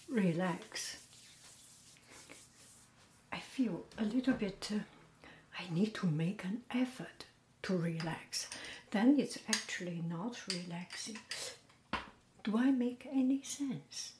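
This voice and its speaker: A woman hosting a podcast, talking softly and gently in a half-whisper, in a relaxed, unhurried chat. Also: medium pitch. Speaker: podcast host. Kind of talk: soft, slow casual chat. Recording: roomy echo with faint noise.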